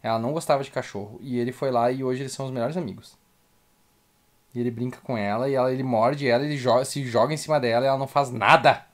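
A man's voice: medium pitch, steady tone, fast talker. A man with a southern Brazilian accent chatting casually and bluntly as co-host of a livestream. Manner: blunt, colloquial. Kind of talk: casual commentary chat. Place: small home room indoors, webcam livestream.

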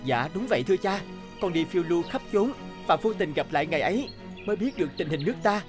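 A brave young prince with a high, earnest voice. He speaks politely and with cartoon drama. Music runs underneath. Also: lively intonation, quick pace. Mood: respectful. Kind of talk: cartoon voice acting.

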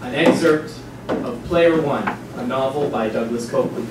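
Male narrator for a live literary reading, deliberate and wry, strong echo over noisy room. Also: medium pitch. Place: small indoor theatre stage before an audience. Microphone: far camera. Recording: distant, very echoey, noisy live stage recording.

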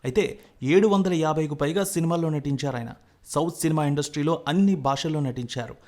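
A male news presenter with a somewhat high-pitched voice. He narrates from a script in a formal register, putting firm emphasis on key phrases. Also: fairly quick, darkish tone.

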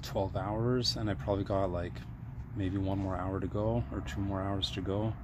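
A man's voice: low-pitched, fairly even intonation, noisy background. A man casually walking through a DIY plumbing job on his phone camera, with lots of ums and uhs. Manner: unhurried, many ums and uhs, hands-on explaining. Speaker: DIY repair vlogger. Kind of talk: casual how-to walkthrough. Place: indoors, cramped space under a sink. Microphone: handheld phone camera, first-person view.

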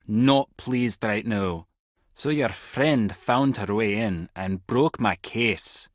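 An actor playing a wry, clever, tech-savvy spy agent with a Scottish accent and a husky voice in an adventure game. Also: male voice, lowish voice, takes it slowly, dry recording, studio quality. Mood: annoyed, dry. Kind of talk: scripted adventure game dialogue.

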